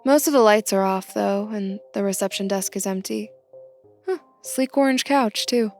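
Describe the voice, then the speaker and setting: A woman's voice: medium pitch, moderate pace, soft background music, lightly acted. Audio drama: young female newcomer, played by a woman, curious yet wary, natural conversational delivery, mid-range voice. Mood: curious, impressed. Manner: conversational, inquisitive. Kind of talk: audio fiction podcast acting.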